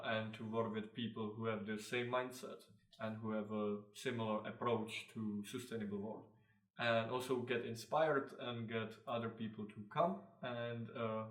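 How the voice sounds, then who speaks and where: A man's voice: fairly low pitch, level tone, a bit bright. Czech-accented young man, roomy and distant. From Czech Republic. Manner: halting, many fillers and restarts. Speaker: designer, co-founder of a clothing brand. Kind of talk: casual interview answers. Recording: echoey room, mic at a distance.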